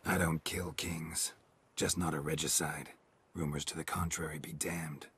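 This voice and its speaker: An actor playing a stoic, world-weary monster hunter in a video game, speaking tersely in a gruff, deadpan voice. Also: male voice, a bass voice, normal speed, heavily acted. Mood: guarded, deadpan. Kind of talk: video game cutscene dialogue.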